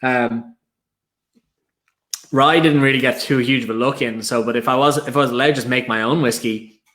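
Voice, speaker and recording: A man's voice: mid-range voice, steady tone, normal speed, a bit bright. An Irish man hosting a casual live stream, telling stories with lots of fillers and restarts. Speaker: host.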